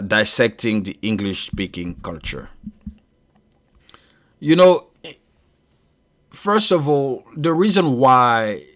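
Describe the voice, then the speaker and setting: Solo commentator, thirties, Ivorian French accent; low-pitched man speaking slowly, hesitant with fillers and restarts. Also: fairly bright tone. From Ivory Coast. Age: 30s. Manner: measured, with frequent uh fillers and restarts. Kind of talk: solo monologue on culture.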